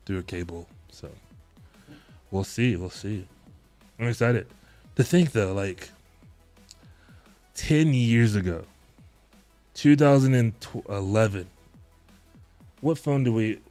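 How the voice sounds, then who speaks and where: A man in his thirties hosting a live tech-deals stream from his home setup, chatting in a relaxed, rambling way with frequent chuckles into a close boom mic. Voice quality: deep voice. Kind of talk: casual live-stream chat. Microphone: boom mic close to mouth, headphones on.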